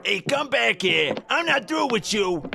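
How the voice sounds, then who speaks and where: An actor playing an irritable, nagging, confrontational mascot in a comedy audio drama. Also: male voice, very high voice, big pitch swings, unhurried, vocal fry, sharp tone, exaggerated voice. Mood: indignant. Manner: griping, confrontational.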